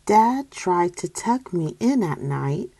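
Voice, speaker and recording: A woman reading a children's picture book aloud in a deliberate, expressive storytelling manner. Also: fairly low pitch, gravelly, slightly rough, no echo.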